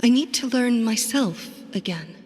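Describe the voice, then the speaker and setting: A woman playing an anguished, haunted mother in a video game, speaking slowly in halting, broken phrases and longing for her young daughter. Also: on the high side, animated, darkish tone, slightly rough. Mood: anguished, brooding. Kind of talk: dramatic video game voice acting. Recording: long hall-like reverb.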